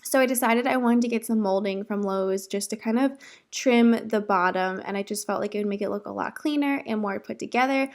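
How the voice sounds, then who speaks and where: A woman in her twenties with a cold-roughened voice, chatting casually through a home kitchen makeover vlog. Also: fairly high pitch. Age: 20s. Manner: chatty, self-deprecating, many ums. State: hoarse from a cold. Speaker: home DIY vlogger.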